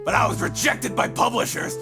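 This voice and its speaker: A man voicing a grouchy, blustering old con man with a gravelly voice in an animated comic dub. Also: extremely high pitch, fairly quick, music in the background, heavily acted. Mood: defensive, wistful. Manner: blustering, defensive.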